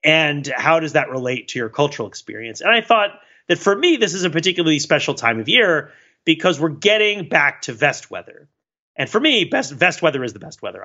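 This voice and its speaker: A man with a bright, forceful voice chats casually as a podcast host. His pitch moves a great deal as he rambles with wry self-deprecation. The recording is slightly dull. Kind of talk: casual chat.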